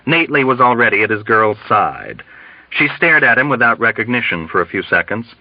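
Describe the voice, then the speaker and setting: A man's voice: mid-range voice, sing-song, a little fast, no room sound. A man reading a novel aloud as scripted audiobook narration. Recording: dull, dry, band-limited audio.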